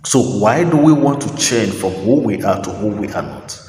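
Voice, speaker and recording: A man hosting a talk, speaking his opinions in an emphatic, preachy monologue, repeating phrases and pausing on fillers. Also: fairly low pitch, animated, a little mellow, slight background noise. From Nigeria. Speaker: host. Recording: roomy echo with long reverb, faint ambience.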